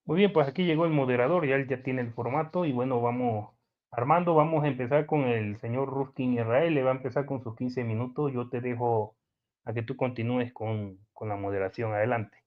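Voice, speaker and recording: A man's voice: mid-range voice, brisk. Man hosting on a tinny line. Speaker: host. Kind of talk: introducing an online debate. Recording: phone-like narrow sound.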